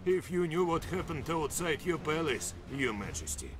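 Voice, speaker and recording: A man playing a gruff, cynical river boatman in a video game, talking bluntly and wryly. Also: a little high, big pitch swings, normal speed, noisy background. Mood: sardonic. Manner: blunt, wry. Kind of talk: video game cutscene acting.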